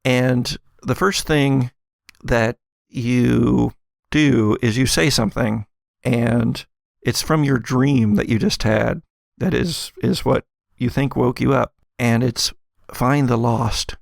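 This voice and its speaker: Male game master, mid-pitched with some fry, improvised tabletop narration, conversational and halting. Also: steady tone, no echo, studio quality. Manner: halting, conversational, prone to chuckling.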